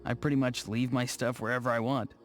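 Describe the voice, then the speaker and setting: A man voicing an awkward, earnest young video game character, speaking in a low-key, hesitant way. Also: level tone, brisk, lightly acted. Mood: relaxed, reassuring. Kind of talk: scripted video game dialogue. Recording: music behind the voice. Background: faint background music.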